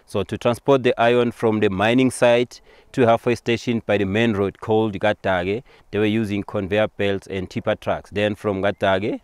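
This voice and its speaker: A man's voice: lowish voice, clean tone, no echo. A man from Eswatini, a native Siswati speaker with a Swazi accent, explains things as a local tour guide, repeating and rephrasing simply.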